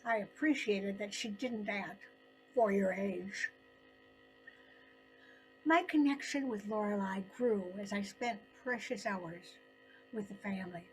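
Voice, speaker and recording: An older woman narrating her own personal story in an expressive, deliberate way with dramatic pauses. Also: mid-range voice, faint music behind, low-quality recording.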